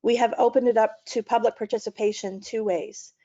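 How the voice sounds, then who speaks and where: Mellow woman on dull call audio. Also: on the high side, fairly even intonation, a little fast. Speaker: school board member asking a question. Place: remote online meeting call. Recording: dull video meeting audio.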